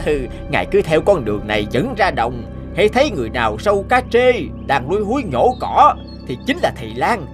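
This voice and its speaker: Scheming rich villager, high and lively, gloating, cartoon music under it. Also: male voice, a little fast, few pauses. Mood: sly. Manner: gloating, scheming, sneering laughs. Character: jealous rich young village man, scheming. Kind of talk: animated folk tale voice acting. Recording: music bed behind the voice.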